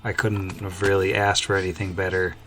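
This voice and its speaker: Male game master, lower-leaning voice, casual and easygoing explainer. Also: completely flat delivery, a little fast, fairly bright tone, slight background noise. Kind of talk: casual chat.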